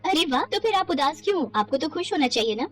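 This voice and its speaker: A woman's voice: high voice, animated, fast, a bit bright, faint music behind, pitched above the performer's own voice. A woman voicing a cheerful, practical wife in a cartoon moral story. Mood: bright, curious. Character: cheerful practical wife consoling her husband. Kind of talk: animated Hindi moral story dialogue.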